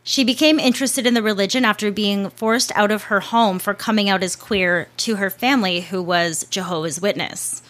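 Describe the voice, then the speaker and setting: A woman's voice: mid-range voice, moderate pace, few pauses, a bit bright. A woman hosting a show, reading a scripted narration in a casual way with chatty asides and the odd swear word.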